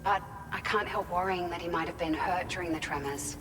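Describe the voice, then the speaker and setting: A caring, chatty facility worker played by an actress in a video game. Her voice is a little low for a woman. It carries long reverb with clear noise behind it. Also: a little fast, natural voice. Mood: concerned, chatty. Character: warm-hearted, concerned facility worker receiving cargo. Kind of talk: video game dialogue, conversational.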